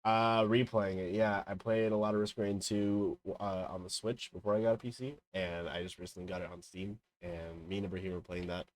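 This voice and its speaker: A man hosting a casual gaming podcast, sounding congested and sick, relaxed and joking with lots of fillers. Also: low-pitched, a little fast, hardly any pauses. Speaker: podcast host.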